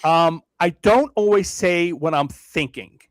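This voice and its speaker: Brief: reflective male guest from Brooklyn, slightly higher pitch, dry close sound. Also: dry recording. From Brooklyn, New York. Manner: measured, self-deprecating, reflective; frequent hedging fillers and restarts. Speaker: podcast guest. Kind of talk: casual interview conversation.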